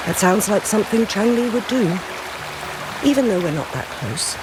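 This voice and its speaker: Over loud rushing water and rain, a courteous young town official from a fantasy game. His voice is rather high and lively in melody, with formal, thoughtful phrasing. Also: heavy background noise. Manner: polite, formal, measured. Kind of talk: video game voice acting.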